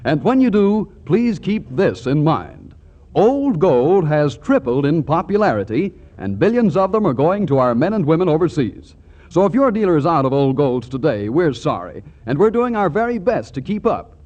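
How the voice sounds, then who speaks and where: A man's voice: fairly high pitch, very animated, normal speed, darkish tone. A man working as a polished, emphatic commercial announcer in scripted 1940s radio advertising. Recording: muffled 1940s radio, faint music.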